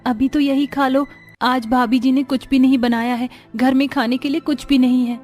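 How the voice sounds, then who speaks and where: A woman playing a gentle, self-sacrificing poor young elder sister who pleads softly in a cartoon moral story. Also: high voice, steady tone, normal speed, soft background music, natural voice. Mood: tender. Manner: tender, pleading.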